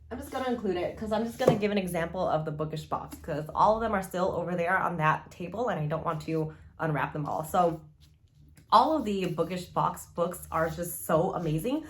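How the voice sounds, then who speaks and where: A woman chatting casually as a book vlogger, gushing and rambling informally with frequent asides. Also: lowish voice.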